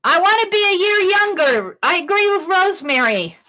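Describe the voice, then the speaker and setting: A middle-aged woman hosting an art livestream, chatting casually with a loud, projected voice while she works and reads chat comments. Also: very high-pitched, very animated, normal speed, low-quality recording.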